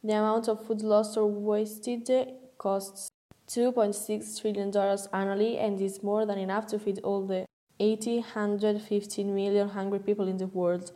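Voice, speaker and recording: Flat-voiced young woman. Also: mid-range voice, moderate pace. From Italy. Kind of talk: reading facts aloud.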